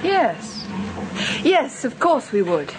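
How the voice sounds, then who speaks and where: An actress in a radio drama playing a jealous, sharp-tongued young bride with a cool, clipped manner. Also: female voice, on the high side, big pitch swings, slow pace. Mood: polite, uneasy. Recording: noisy old radio drama recording.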